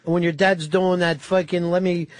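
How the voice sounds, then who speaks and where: A man's voice: high-pitched, little pitch movement, moderate pace, no echo. A man hosting live talk radio from a studio, blunt and profane as he needles his co-host.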